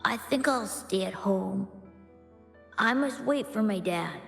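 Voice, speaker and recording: A woman's voice: medium pitch, lively intonation, takes it slowly, creaky. A woman voicing a shy, polite young boy who speaks hesitantly, in an anime-style video game. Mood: apologetic, downcast. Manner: hesitant, soft-spoken, brief replies. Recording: echoey, long reverb, music underneath.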